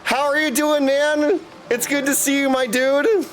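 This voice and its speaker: A man's voice: extremely high pitch, fairly even intonation, measured pace, a bit bright, slight background noise. An exuberant, slangy man chatting casually with viewers as he livestreams and comments on a game. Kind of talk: casual live stream chat and game commentary. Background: game music.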